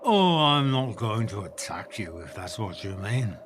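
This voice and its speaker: A man's voice: lowish voice, very animated, unhurried, background noise. An actor playing a weary, wry undead man in a radio play, talking with dry amusement. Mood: menacing calm. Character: weary, wry undead man, blood-drinker who spares his visitor.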